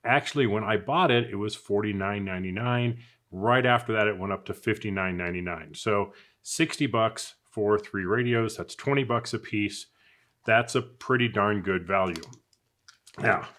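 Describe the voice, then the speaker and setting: A man's voice: on the low side, normal speed, fairly bright tone. A man giving a casual, unscripted product review in a chatty, conversational way, as if thinking aloud. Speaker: product reviewer, channel host.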